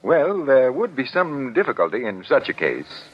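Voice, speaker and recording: A man playing a calm, methodical doctor in a 1940s radio drama, formal and polite. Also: medium pitch, moderate pace, husky. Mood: measured, professional. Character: calm, methodical male doctor, clinical expert. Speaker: lie detector specialist. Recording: dull old 1940s radio broadcast.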